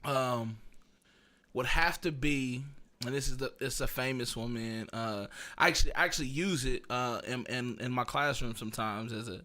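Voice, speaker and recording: A man hosting a casual podcast, chatting and telling stories in a slangy, animated way. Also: mid-range voice, moderate pace. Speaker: podcast host; teacher. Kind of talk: casual podcast chat and storytelling.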